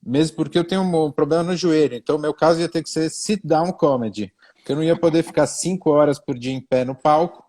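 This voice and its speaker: A man's voice: a little high, fast, mellow. A middle-aged Brazilian man chatting as a comedian guest on a live stream, hesitant and repeating words, with wry self-mocking jokes. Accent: Brazilian Portuguese. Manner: slips into pompous old-fashioned wording. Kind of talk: casual interview chat on a live stream.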